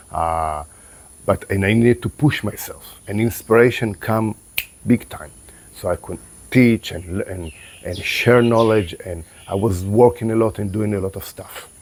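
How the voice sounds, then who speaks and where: A man with a very deep voice talks slowly and reflectively, a qigong teacher whose first language is Hebrew. His voice sounds slightly closed, and he pauses and restarts as he gathers his thoughts. Insects chirp quietly in the background. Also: a little hiss or hum. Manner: calm, unhurried, with pauses and restarts. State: voice a bit closed after meditation. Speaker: qigong and tai chi teacher. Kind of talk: reflective spoken talk to camera.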